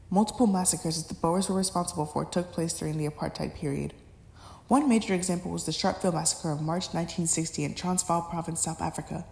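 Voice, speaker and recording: A woman's voice: on the low side, creaky, darkish tone. A young adult woman reading a scripted educational narration as the narrator, recorded in an echoey room.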